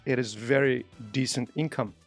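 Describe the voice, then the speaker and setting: A man's voice: mid-range voice, steady tone, normal speed, faint music behind. A man hosting a podcast, asking questions in a curious way with non-native grammar. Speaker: podcast host. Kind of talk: casual interview talk.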